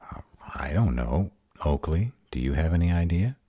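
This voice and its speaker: A man playing a protective, grumpy were-dragon boyfriend in a fantasy audio drama, gruff but deferential to authority. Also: extremely low pitch, expressive, moderate pace, mellow, dry recording, heavily acted. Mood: serious accusation.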